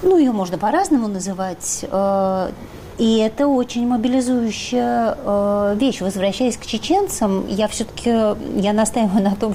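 An assertive woman argues her case as a studio guest on a discussion panel. Her tone is mellow and warm, with a faint hum of the studio behind her. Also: mid-range voice. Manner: assertive, argumentative.

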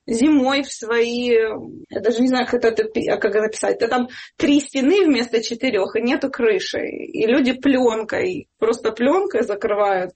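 Female charity volunteer, fairly high husky voice, interviewed, tells anecdotes with quoted remarks. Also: moderate pace, a little mellow. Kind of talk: spoken interview answers with anecdotes.

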